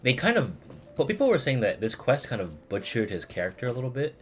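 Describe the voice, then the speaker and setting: A man livestreaming a game, chatting casually in a rambling, halting way as he searches for words. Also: medium pitch, huge pitch swings. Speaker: game streamer. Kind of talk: casual livestream commentary, replying to chat.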